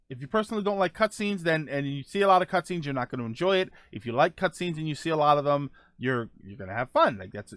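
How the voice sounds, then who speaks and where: A man's voice: fairly high pitch, a bit bright, no room sound, studio quality. A man hosting a casual live stream, thinking aloud while reading viewer comments, often restarting his sentences. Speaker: streamer host reading chat.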